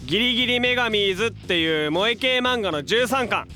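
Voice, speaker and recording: An actor playing a young, loyal, quick-witted hero in a Japanese audio drama, with casual, punchy, confident delivery. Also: male voice, sits high, animated, bright, forceful tone, soft background music. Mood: confident, reassuring.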